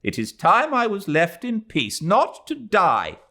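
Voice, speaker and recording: A man's voice: sits high, huge pitch swings, takes it slowly, sharp tone, voice pushed up, heavily acted. A man voicing a bitter, weary old woman. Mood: sour. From Britain. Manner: sour, clipped, resentful.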